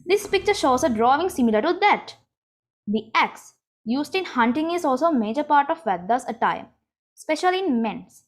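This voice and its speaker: A woman giving a prepared class presentation, reading clearly and steadily. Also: high voice, big pitch swings. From Sri Lanka. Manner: reads from a script, clear and measured. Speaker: student presenter.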